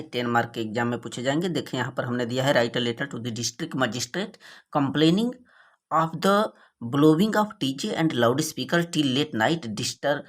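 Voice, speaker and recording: A North Indian man teaches in a mid-pitched voice, with Hindi as his native language. He speaks as a schoolteacher preparing students for exams, didactic and methodical, setting out each phrase and explaining it. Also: a bit bright. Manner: didactic, reads English slowly phrase by phrase, then explains. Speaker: English teacher for board exam students. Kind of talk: explanatory exam lesson, switching between Hindi and English.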